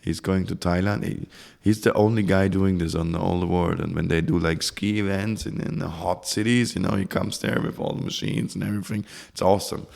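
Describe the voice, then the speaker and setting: Man, German accent, very low voice, gravelly, rambling, hunts for English words. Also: steady tone, fairly quick, hardly any pauses, darkish tone. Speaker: guest, community founder. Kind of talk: casual interview talk.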